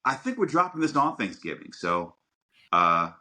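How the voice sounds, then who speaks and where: A man's voice: medium pitch, big pitch swings, moderate pace, bright, forceful tone. A middle-aged American man co-hosting a podcast in a casual, rambling way, thinking aloud as he goes. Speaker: podcast host.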